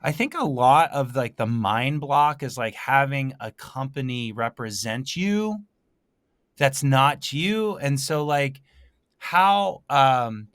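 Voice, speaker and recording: A man hosting a casual interview, thinking aloud in a halting way and often rephrasing himself. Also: mid-range voice, animated, fairly bright tone, no room sound. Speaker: podcast host.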